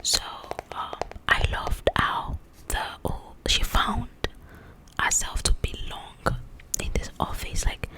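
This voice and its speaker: A woman whispering in an ASMR style as she casually chats about a book in a rambling, animated, informal way. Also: slow, rough recording. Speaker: ASMR creator reviewing a book.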